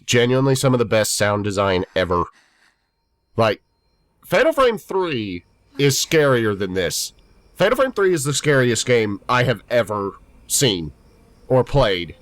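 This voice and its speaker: A man's voice: medium pitch, very animated, a little slow, a bit bright, dry recording. A man in his twenties, stuffy from a head cold, giving rambling, chatty let's-play commentary. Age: 20s. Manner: rambling, chatty, self-deprecating. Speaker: gaming YouTuber.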